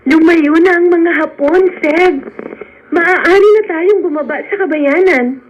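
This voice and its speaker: A woman acting in a Filipino radio melodrama as a gentle, devoted young sweetheart, emotional and pleading. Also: extremely high pitch, unhurried, a little hiss or hum. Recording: dull lo-fi radio sound.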